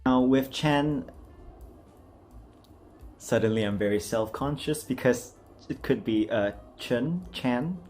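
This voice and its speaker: A man's voice: medium pitch, slow pace, long pauses, a little mellow, soft background music. A man hosting a casual voice acting challenge, chatty, giggly and self-deprecating. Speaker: amateur voice acting challenge host.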